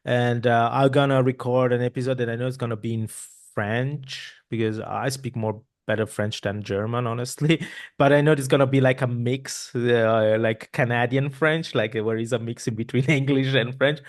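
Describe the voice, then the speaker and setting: A man's voice: mid-range voice, normal speed. Man hosting a podcast. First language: Italian. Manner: rambling, many restarts and fillers, animated hand gestures. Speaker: podcast host. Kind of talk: casual interview chat. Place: home room. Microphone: boom mic with headphones, video call.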